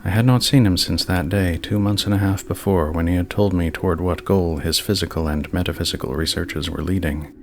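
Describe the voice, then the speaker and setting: Very deep, gravelly male horror narrator. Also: background noise. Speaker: narrator, channel host. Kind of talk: slightly dramatic horror story reading.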